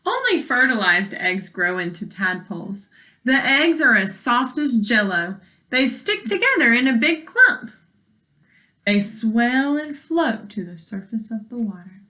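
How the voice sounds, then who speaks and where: A woman's voice: a little high, big pitch swings, a little slow, pushed and bright, poor audio. A woman teacher reading aloud to young children and explaining as she goes in simple words, with questions to the listeners. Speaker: elementary school teacher.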